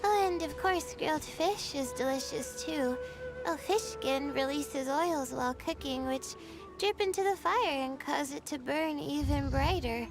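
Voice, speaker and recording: A woman playing a wistful, gentle young woman in a video game, reminiscing in an unhurried, soft-hearted way. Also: sits high. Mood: cheerful reminiscing. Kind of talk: game character dialogue. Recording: game music behind the voice. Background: background game music.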